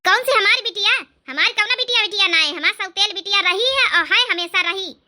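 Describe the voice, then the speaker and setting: Woman putting on a shrill, spiteful village mother-in-law. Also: fairly quick, sharp tone, full character voice. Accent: Awadhi. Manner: grumbling, shrill, theatrical. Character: spiteful, grumbling middle-aged village stepmother and mother-in-law. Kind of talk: cartoon comedy drama dialogue.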